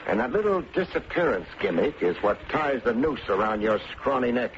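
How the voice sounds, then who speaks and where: An actor playing a cocky, shrewd private detective with wry, slangy, clipped delivery in an old-time radio drama. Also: male voice, mid-range voice, sing-song, moderate pace, close to an everyday voice. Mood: confident, accusing. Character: wisecracking, hard-boiled male private detective, cocky and shrewd. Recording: muffled, noisy vintage radio recording.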